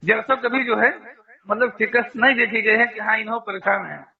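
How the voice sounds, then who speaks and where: A man's voice: high-pitched, steady tone, moderate pace, gravelly. A man giving earnest, informal religious advice over the phone, often repeating phrases for emphasis. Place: phone call. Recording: tinny narrowband phone call recording.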